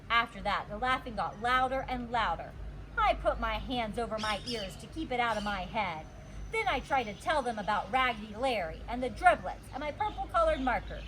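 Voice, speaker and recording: A woman's voice: a little high, moderate pace, noisy background. A woman reading a children's story aloud as a teacher would, expressively and acting out the dialogue. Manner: animated, acts out the dialogue.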